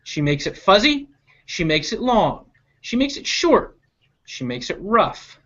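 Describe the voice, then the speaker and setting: A storyteller reading a picture book aloud to children in a deliberate, expressive way, stretching out key words. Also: mid-range voice, highs cut off. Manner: animated, deliberate, stretching key action words, with asides to listeners.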